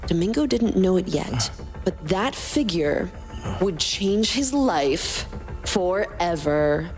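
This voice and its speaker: A woman's voice: on the low side, lively intonation, takes it slowly, darkish tone, soft background music. A woman co-hosting and narrating a fan fiction podcast in a scripted storytelling style.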